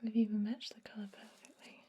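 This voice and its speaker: A woman doing a gentle ASMR roleplay as a dentist, mixing breathy whispers with soft, reassuring talk to a patient. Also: little pitch movement, fairly quick. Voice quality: breathy whisper mixed with soft low-volume speech. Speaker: ASMR performer playing a dentist.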